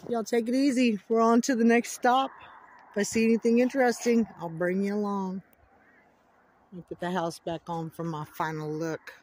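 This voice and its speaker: A woman's voice: medium pitch, fairly even intonation, moderate pace, darkish tone, a little hiss or hum. A chatty woman talking to her viewers while she films a roadside walk in winter. She keeps it casual and friendly, saying y'all a lot. Her phone picks her up outdoors beside a country road. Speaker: travel vlogger. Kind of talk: casual narrated road trip vlog. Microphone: phone camera held by the filmer.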